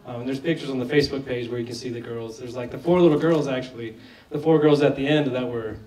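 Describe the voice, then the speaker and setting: A film director, a man, answering audience questions after a screening. He sounds a bit hoarse and talks conversationally, often restarting his sentences. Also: medium pitch, fast, a little mellow. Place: large screening hall. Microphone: lost microphone, speaking unamplified. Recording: echoey hall, voice from far off.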